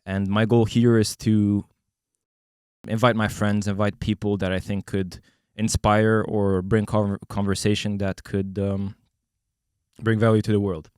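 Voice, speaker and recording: A young man hosting a podcast in a relaxed, casual chat, laughing often and pausing on fillers. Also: on the low side, smooth, no echo, studio quality. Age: young adult. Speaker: podcast host. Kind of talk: casual conversation.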